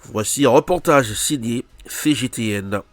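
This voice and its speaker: A man's voice: mid-range voice, measured pace. A man presenting a news bulletin, introducing reports with some hesitations and self-corrections. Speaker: news anchor.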